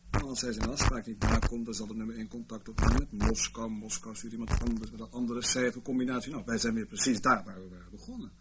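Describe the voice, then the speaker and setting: A man's voice: fairly low pitch, fast, background noise, close to an everyday voice. A man acting in a radio play as a wry, officious senior civil servant in intelligence, urbane and mock-regretful. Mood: smooth, persuasive.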